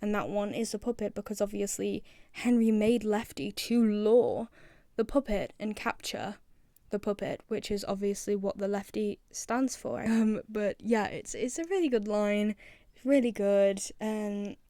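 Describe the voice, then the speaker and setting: A woman's voice: mid-range voice, unhurried, mellow, no room sound, clean studio sound. A young woman giving casual, rambling commentary as a video creator, with a self-deprecating manner.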